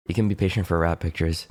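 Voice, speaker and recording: A male streamer chatting casually with viewers, sounding stuffy and congested, rambling and swearing in a laid-back way while clearing his throat often. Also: sits low, darkish tone, no room sound.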